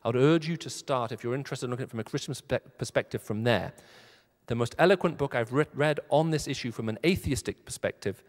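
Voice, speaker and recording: A man answering a question from a forum panel, restarting phrases and repeating words. His voice sits at a middle pitch. A long reverb from a large hall surrounds it. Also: fast talker. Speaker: panel speaker.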